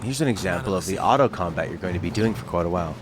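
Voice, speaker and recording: Deep-voiced man chatting over game music. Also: rapid-fire. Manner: chatty, reacting aloud with sudden excited exclamations. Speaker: game streamer. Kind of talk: casual let's-play commentary. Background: game music and sound.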